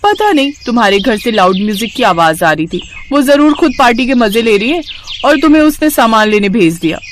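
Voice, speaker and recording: A sly young college girl from a moral-story cartoon, played by a woman, jealous and scheming. She taunts and goads in a high, very animated voice, with faint noise behind. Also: a little fast, close to an everyday voice. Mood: sly, goading.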